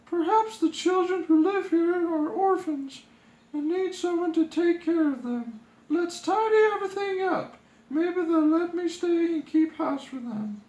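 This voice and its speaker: A man's voice: very high voice, a little slow, a little mellow, reverberant, voice pushed up, low-quality recording. A man voicing a sweet, innocent young princess in a storybook reading, polite and wide-eyed. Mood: delighted. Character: sweet, innocent young fairy-tale princess, gushing and gentle.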